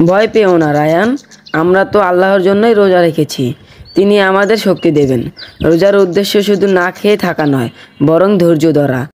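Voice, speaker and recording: Man playing an earnest, high-voiced pious boy. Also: normal speed, darkish tone, lightly acted. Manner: earnest, instructive. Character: pious, patient boy advising his friend. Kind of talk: moral cartoon dialogue. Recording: faint background music.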